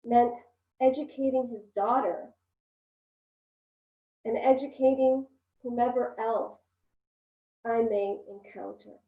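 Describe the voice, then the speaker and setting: A woman's voice: on the high side, fairly even intonation, slow pace, long pauses, far from the mic. A woman giving a poetry reading from her kitchen over a tinny, echoey video-call line. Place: kitchen at home.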